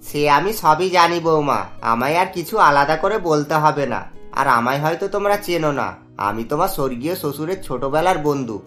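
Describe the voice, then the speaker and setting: A man's voice: fairly high pitch, a bit bright. Man playing a sly, meddling older cartoon uncle. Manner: insinuating, gossipy, condescending. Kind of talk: cartoon voice acting.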